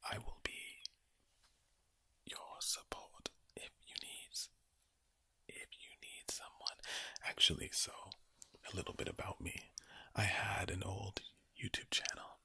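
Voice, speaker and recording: A man giving a soothing ASMR pep talk in a low, gravelly whisper, close to the microphone, with deliberate, repetitive phrasing and a villain-like edge. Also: huge pitch swings, pushed and bright, no room sound. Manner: slow deliberate phrasing with repetition and rhetorical questions; villain-like, ominous sound. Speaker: ASMR video creator.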